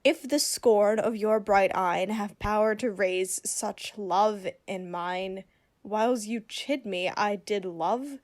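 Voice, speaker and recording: A woman's voice: medium pitch, slow pace, natural voice. Woman playing a witty young noblewoman disguised as a youth. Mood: mocking recital. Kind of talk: Shakespearean radio play acting.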